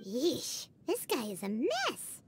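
A woman's voice: huge pitch swings, slow pace, slight background noise, heavily acted. A woman voicing a tiny, chirpy and blunt fairy girl companion in a video game. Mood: exasperated. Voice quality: squeaky, very high. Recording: game audio with soft music.

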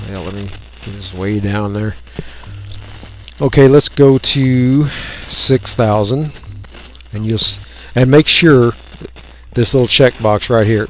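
A man talking through a software tutorial step by step in an unscripted, folksy way. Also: lowish voice, slight background noise. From United States. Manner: unscripted, folksy, explaining each click. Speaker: radio hobbyist giving a tutorial. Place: indoors, voice-over for a screen recording.

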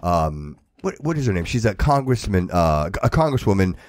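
A man's voice: sits low, big pitch swings, normal speed, darkish tone, no echo, clean studio sound. A man hosting a podcast in casual, opinionated chat, talking emphatically and repeating himself. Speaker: podcast host.

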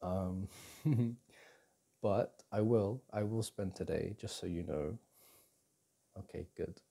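A young man performing casual ASMR boyfriend roleplay as an easygoing, affectionate partner, talking in a hesitant, halting way. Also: low voice, dark tone. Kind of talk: ASMR boyfriend audio roleplay, casual one-sided chat. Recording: clearly audible background noise.

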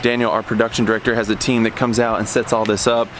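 A man's voice: fairly low pitch, level tone, fast talker, fairly bright tone. A man in his thirties, a worship leader, chatting casually and joking while filming himself with a handheld camera. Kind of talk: casual vlog chat. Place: church hall and outdoor parking lot. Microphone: handheld camera at arm's length. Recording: music playing behind.

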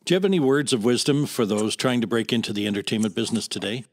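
A man's voice: medium pitch, lively intonation, fast talker. A man hosting a podcast, interviewing a guest in a conversational style. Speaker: podcast host.

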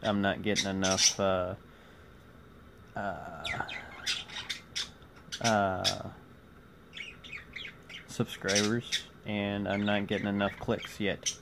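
A man in his forties chatting casually to viewers in a halting, rambling vlog, recorded on a phone indoors. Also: fairly low pitch, little pitch movement. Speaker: vlogger. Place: small cluttered room indoors. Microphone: phone at arm's length. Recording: noisy phone recording, clear background noise.

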